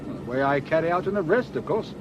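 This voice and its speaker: A wry British police inspector, played by a male actor in a radio drama, pitched a little high for a man. He is brisk and matter-of-fact, with a sardonic edge, and the old recording is clearly noisy. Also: lively intonation, lo-fi sound, natural voice. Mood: dry, explanatory.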